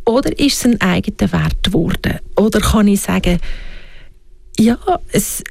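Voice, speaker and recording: A woman's voice: medium pitch, moderate pace, gravelly, darkish tone, no echo. A woman with a Swiss German accent talking in a reflective interview, often asking herself questions aloud. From Switzerland. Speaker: guest. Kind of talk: reflective conversation.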